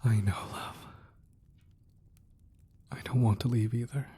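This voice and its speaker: A man playing a protective, romantic crime boss husband in an intimate ASMR roleplay, soft and hushed, tender with an edge of menace. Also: medium pitch, steady tone, slight background noise, close to an everyday voice. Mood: wry, affectionate. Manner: slow, deliberate, tender murmuring with pauses.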